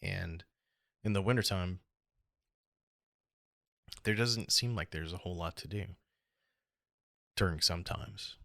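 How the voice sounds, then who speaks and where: A middle-aged American man with a hoarse, gravelly voice, talking alone in a reflective, halting and rambling way as a casual podcast host. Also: low voice, a bit bright, no echo, studio quality. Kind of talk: casual solo podcast monologue.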